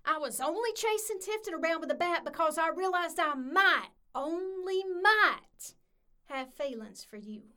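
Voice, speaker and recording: A woman's voice: very high-pitched, expressive, unhurried, bright, forceful tone, exaggerated voice. A woman playing a brash, bossy, jealous young-spirited Southern woman with a hot temper in an audio drama. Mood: grudgingly confessing.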